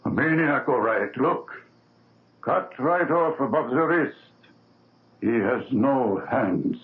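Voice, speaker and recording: An actor playing a matter-of-fact police inspector with clipped, businesslike speech in a 1970s radio drama. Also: male voice, medium pitch, animated, slow pace. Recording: narrow phone-like old radio broadcast.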